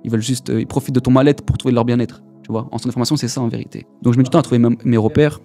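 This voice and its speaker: A young man in his twenties from the Paris region rattles off his story at a rapid clip, slangy and full of repeated words. His voice sits on the mellow, dark side. Faint music plays behind him. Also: mid-range voice, fairly even intonation. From Paris region, France. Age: 20s. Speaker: guest giving a testimony. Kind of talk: casual testimony.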